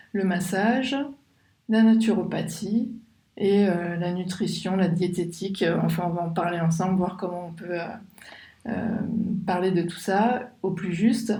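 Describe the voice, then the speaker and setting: A woman's voice: mid-range voice, warm tone, echoey room. A woman hosting a podcast who introduces the show and her guest, then asks questions conversationally, with frequent fillers. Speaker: podcast host.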